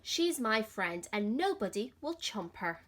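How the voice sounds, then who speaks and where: A woman reading aloud, voicing a gentle, thoughtful male lion in a soft, earnest way. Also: fairly high pitch, sing-song, unhurried, heavily acted. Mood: firm.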